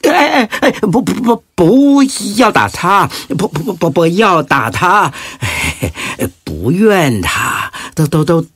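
Stuttering, slow old monk. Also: male voice, high voice, sing-song, a bit bright, no room sound, exaggerated voice. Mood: pleading, humble. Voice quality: stutter, husky. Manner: halting, drawn-out, meek. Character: gentle, humble old stuttering Buddhist monk.

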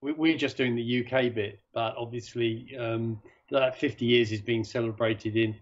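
Halting male guest on a video call. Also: mid-range voice, fairly even intonation. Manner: hesitant, many restarts. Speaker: sailing class chairman, guest. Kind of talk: casual video-call interview. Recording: dull video-call audio.

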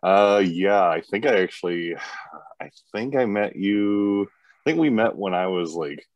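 A man's voice: fairly low pitch, animated, unhurried, no echo, dull audio. A man in his forties, a tattoo artist guest, talking in a rambling way with many fillers over a video call. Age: 40s. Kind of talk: casual interview chat. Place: indoor room. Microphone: webcam on video call.

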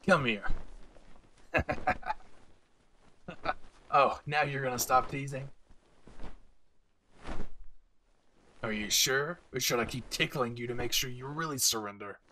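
A man playing a brash but devoted young husband and excited father-to-be in an intimate, teasing, tender audio roleplay. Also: mid-range voice, slight background noise. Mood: playful, teasing.

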